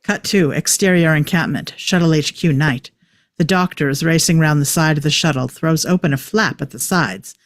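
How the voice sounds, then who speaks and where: Casting: script narrator for stage directions, medium pitch, close dry recording. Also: normal speed, no room sound.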